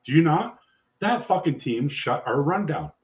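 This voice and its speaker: A man's voice: fairly high pitch, normal speed. Canadian man on a tinny line. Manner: swearing, animated needling. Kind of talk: casual sports banter.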